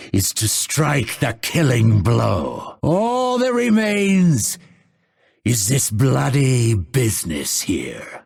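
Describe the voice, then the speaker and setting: A man voicing a vengeful exiled assassin in a video game, grim and proud, with a raspy, theatrical, ominous delivery. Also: mid-range voice, darkish tone, full character voice. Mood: menacing, defiant. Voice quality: gravelly, raspy. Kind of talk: dramatic video game voice lines.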